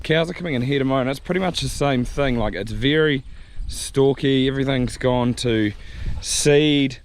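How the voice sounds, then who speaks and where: Male farmer vlogger, hoarse and losing his voice, loose rambling chat outdoors, faint background hiss. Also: fairly low pitch. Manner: relaxed, rambling, thinking aloud. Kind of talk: casual chat to camera. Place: outdoors on a farm paddock.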